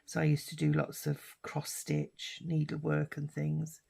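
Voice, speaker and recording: An older British woman with a husky, hoarse voice from a lingering cough, chatting casually while she crafts. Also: low voice, monotone, measured pace, a little mellow. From United Kingdom. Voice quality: husky, raspy. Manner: rambling and chatty, with many fillers. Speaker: craft vlogger.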